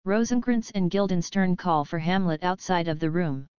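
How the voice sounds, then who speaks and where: A woman's voice: fairly low pitch, normal speed, darkish tone, clean tone, dry recording. A woman narrator reading stage directions for an audio play. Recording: dull lo-fi sound, music behind.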